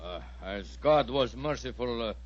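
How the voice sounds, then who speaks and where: A man's voice: mid-range voice, expressive, measured pace, no echo. An actor playing a sly, boisterous Russian man with a Russian accent and mockingly courteous delivery in 1940s radio style. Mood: sly, defiant. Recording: dull, muffled 1940s radio recording.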